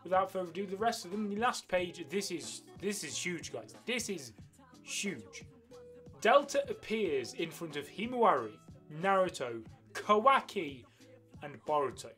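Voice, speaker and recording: A young man hosting an anime news vlog, giving excited fan commentary and pausing dramatically to stress key words. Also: sits high. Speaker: host of an anime news channel. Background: background music.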